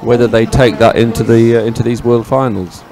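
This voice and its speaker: Guy with an average-pitched voice calling a live race as second commentator, measured, informal. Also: level tone, crowd noise. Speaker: sports co-commentator.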